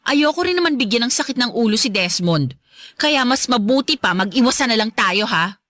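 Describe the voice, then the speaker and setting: Snooty filipina stepmom, piercing bright voice, sarcastic and fake sweet, radio drama, really dry sound. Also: female voice, a little high, animated, fairly quick, no room sound. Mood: haughty, hostile. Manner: curt, sarcastic, syrupy when putting on affection. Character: haughty, hostile young stepmother, sweet only to her husband.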